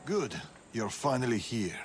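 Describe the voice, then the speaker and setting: A seasoned Persian assassin, older, serious and guarded. His mid-pitched voice is measured, in game cutscene style, with faint ambient noise behind it. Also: big pitch swings. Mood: businesslike. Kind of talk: video game cutscene acting.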